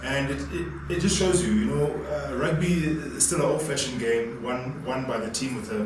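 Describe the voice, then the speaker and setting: A man's voice: mid-range voice, normal speed, very echoey. A man gives his view on a casual sports panel, often repeating words as he gathers his thoughts, earnest throughout. His voice sits mid-range and the room rings around him. He is picked up from a distance with a faint hiss. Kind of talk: casual studio sports chat. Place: small studio room, indoors.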